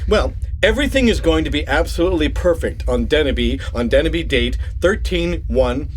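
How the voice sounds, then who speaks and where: An actor playing an earnest, oblivious male space detective in a sci-fi comedy audio drama, deadpan and literal-minded. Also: fairly high pitch, a little fast, slight background noise, natural voice, rough recording.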